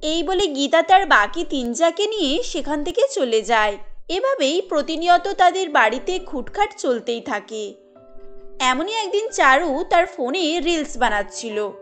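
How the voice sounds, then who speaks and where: A woman's voice: high voice, sing-song, a little slow, a bit bright, faint music behind. A woman narrating a cartoon family tale in a scripted storybook style.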